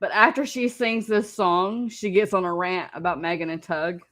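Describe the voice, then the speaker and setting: A woman's voice: on the low side, steady tone, normal speed, fairly bright tone. A woman hosting a reaction show, mocking the clip in casual, teasing commentary and laughing often.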